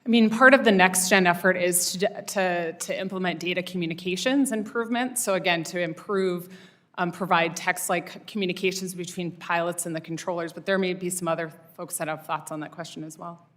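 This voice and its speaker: A woman testifying at a hearing about a report, measured and full of fillers. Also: fairly low pitch, steady tone, fairly bright tone. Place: hearing room.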